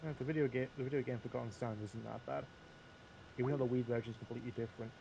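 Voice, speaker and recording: A man speaks quickly in a middling pitch. He sounds like a panelist offering casual opinions. The online call has clear noise on it. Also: steady tone, dark tone, muffled recording. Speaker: talk show panelist.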